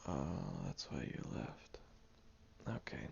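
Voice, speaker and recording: A man voicing a grumpy tsundere teenage boy who is gruff but caring, grumbling, sighing and muttering half-whispered asides in an audio roleplay. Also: extremely low pitch, sing-song, slow pace, lo-fi sound, slight background noise, exaggerated voice. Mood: gruff concern.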